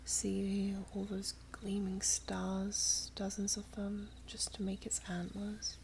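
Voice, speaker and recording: Woman doing an elf maiden for asmr roleplay, ageless n wistful, really slow soft whispery talk w some fry, kinda teasing, old-timey wording. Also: medium pitch, completely flat delivery, slight background noise. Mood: wistful, hushed. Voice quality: soft, breathy, half-whispered. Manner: archaic, unhurried, gently condescending.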